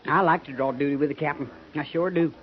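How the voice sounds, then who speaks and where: An actor playing an earnest, trusting young American cavalry trooper in a folksy 1950s radio western. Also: male voice, fairly high pitch, fast talker, close to an everyday voice. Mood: admiring. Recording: dull, noisy 1950s radio transcription.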